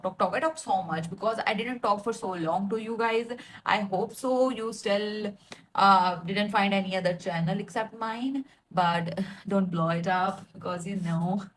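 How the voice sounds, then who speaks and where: A woman's voice: mid-range voice, a bit bright, a little hiss or hum, rough recording. A woman, ranting casually to her followers and laughing at herself, with lots of likes and fillers. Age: 20s. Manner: chatty, self-mocking, laughs often, filler-heavy. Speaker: vlogger. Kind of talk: casual rambling rant to followers.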